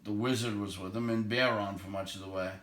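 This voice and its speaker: A man's voice: lowish voice, fairly even intonation, fairly quick, fairly bright tone, roomy sound. A man narrating, reading a storybook aloud.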